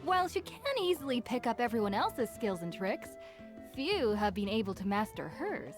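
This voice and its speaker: A young woman in a video game, a knowing and helpful friend. Her fairly high, animated voice explains things articulately over clear background music. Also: moderate pace.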